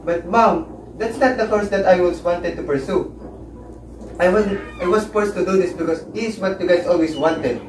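A young man acts a frustrated son who wants his own path, in a high male voice. The amateur melodrama has echoey living-room sound and clear background noise. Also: moderate pace, natural voice. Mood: pleading. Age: young adult. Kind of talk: amateur one-act melodrama acting. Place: living room, far camera mic. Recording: echoey room, distant mic, noisy background.

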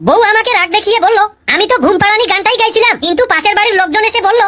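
Woman as wisecracking cartoon pupil; fast, bright, high, storytelling punchlines. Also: a little hiss or hum. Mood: cheeky. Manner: chatty storytelling, punchline delivery. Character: cheeky male pupil, married, joking about his wife. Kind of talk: cartoon comedy voice acting.

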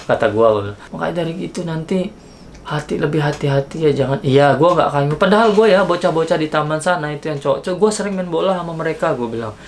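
A man in his thirties, seated in a small bedroom with a gaming setup, telling a story casually and acting out the dialogue with lively shifts in pitch, recorded on a camera mic. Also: fast talker, a little hiss or hum. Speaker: vlogger. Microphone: camera mic, seated facing camera.

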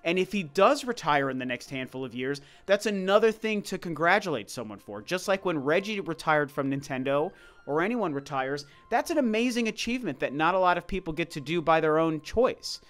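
A man's voice: fairly high pitch, big pitch swings, fairly quick, a bit bright. A man giving casual, conversational opinion commentary, speaking emphatically and repeating his points for stress. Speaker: video commentator. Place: voice-over over gameplay footage.

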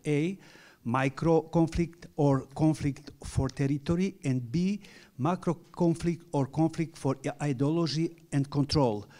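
A middle-aged man with a French accent, a native French speaker, reading a structured academic lecture at a panel in halting English. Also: a little high, mellow. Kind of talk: academic conference talk. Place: large conference hall with audience.

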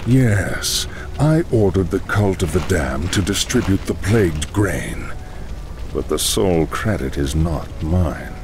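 Slow, very deep sinister necromancer. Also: male voice, lively intonation, background noise, exaggerated voice. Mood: smug, ominous. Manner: slow, ominous, condescending. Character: sinister undead-cult necromancer, grave and mocking older man. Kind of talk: dramatic fantasy game acting.

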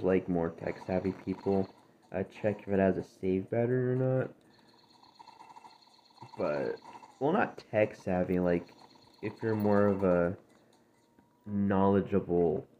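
A low-voiced man talks over his gameplay in a dry, gravelly, just-woken-up voice, rambling and correcting himself mid-sentence. The audio is thin and phone-like, with a faint hiss underneath. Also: warm tone. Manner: rambling, self-correcting, drifting between topics. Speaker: gaming channel host. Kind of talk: casual gameplay commentary. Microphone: phone screen-recorder audio. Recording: tinny, phone-like, faint noise.

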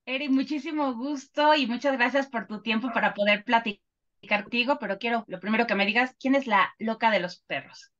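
A woman's voice: on the high side, moderate pace, fairly bright tone. A woman interviewing a guest over a video call, asking short, polite questions. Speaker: entertainment interviewer. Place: home office with posters. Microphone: webcam on a video call. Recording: dull lo-fi video call audio.

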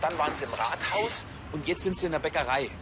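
A man's voice: a little high, animated, fast talker, background noise, lightly acted. An actor playing a nervous, hapless junior policeman, hesitant and easily flustered. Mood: earnest.

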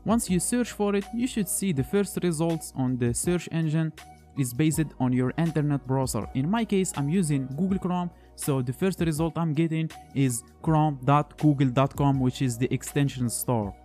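Man, tutorial voice-over, mellow voice, plain instructional manner, soft background music. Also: fairly high pitch, moderate pace. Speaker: tutorial host. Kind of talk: screen-recorded tech tutorial voice-over.

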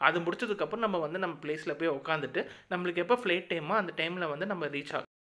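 A man's voice: sits high, fast talker, bright, forceful tone. A man with a Tamil accent narrating a casual travel vlog, chatty and full of advice, leaning on lots of filler words. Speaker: travel vlogger.

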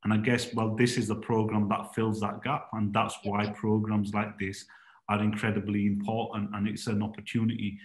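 A man moderating an online panel from his room, speaking with a fairly low voice. His remarks are encouraging and brisk, and the call audio has a noticeable echo around him. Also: little pitch movement. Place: home room on a video call.

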